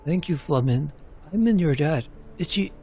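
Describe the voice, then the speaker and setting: Man acting remorseful game character, high voice, halting, pleading, anxious, faint music behind. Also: lively intonation, dark tone. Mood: guilty, subdued. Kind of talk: narrated game cutscene character.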